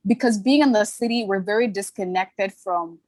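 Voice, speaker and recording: A woman's voice: medium pitch, darkish tone, no echo. Candid young woman telling her story. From born in Ghana, raised in Toronto. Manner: earnest, candid, quick to laugh. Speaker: podcast guest, brand founder. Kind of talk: casual interview storytelling.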